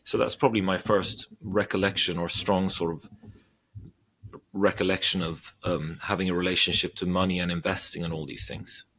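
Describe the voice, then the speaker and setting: A low-pitched man in his forties from Sweden, speaking as a guest in an interview. He answers slowly and thoughtfully, often restarting his sentences. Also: steady tone, bright, forceful tone, breathy edge. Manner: thoughtful, hesitant, many restarts. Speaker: investor guest.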